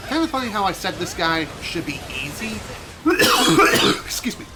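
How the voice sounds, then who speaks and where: A man streaming a video game, chatting casually and rambling with self-amused asides, his voice a little hoarse from allergies. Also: high-pitched, very animated, a little slow, very noisy. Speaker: gaming streamer. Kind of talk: casual let's play commentary. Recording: game music behind voice. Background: game music.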